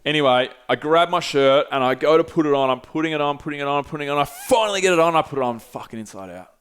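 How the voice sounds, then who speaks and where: A man's voice: on the high side, animated, fast talker, a bit bright, clean tone. A man in his thirties hosting a podcast, telling a story animatedly with lots of swearing. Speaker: podcast host. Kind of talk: casual storytelling. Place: small podcast studio. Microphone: headset with desk mic.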